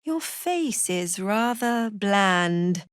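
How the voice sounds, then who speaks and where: A haughty female prison warden from a fantasy game, a zealous cultist with a cruel streak. She speaks slowly and deliberately, with condescending menace in every phrase. Her mid-pitched voice is captured very dry, with no room sound. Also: lively intonation, clean tone, no echo. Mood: cold, condescending. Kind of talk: dramatic fantasy video game acting.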